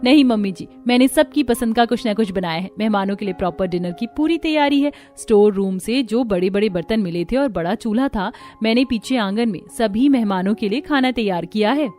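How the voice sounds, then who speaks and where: A woman's voice: mid-range voice, lively intonation, a little fast, few pauses, dark tone, soft background music, lightly acted. A woman voicing a sweet, dutiful young housewife daughter-in-law in a cartoon. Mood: cheerful.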